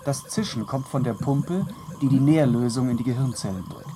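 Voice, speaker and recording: An actor playing a proud, callous surgeon in a science-fiction radio play, explaining things in an obliging, breezily matter-of-fact way. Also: male voice, medium pitch, normal speed, lightly acted. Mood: casually callous. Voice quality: mellow, soft-edged. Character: proud, casually callous male surgeon at a clinic. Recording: faintly noisy old radio recording.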